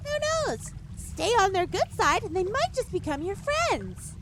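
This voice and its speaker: A woman's voice: extremely high pitch, big pitch swings, unhurried, fairly bright tone, a little hiss or hum, exaggerated voice. A woman playing a perky, teasing imaginary female voice inside a pony's head in an audio drama. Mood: chirpy reassurance.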